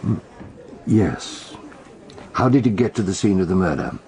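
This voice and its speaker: A brooding British male detective from a radio drama. His voice is low and gravelly, with wide swings in pitch as he muses. He measures out his thoughts with pauses. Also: big pitch swings, dark tone, a little hiss or hum, exaggerated voice. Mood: intent. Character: shrewd, brooding male detective recounting a murder trial.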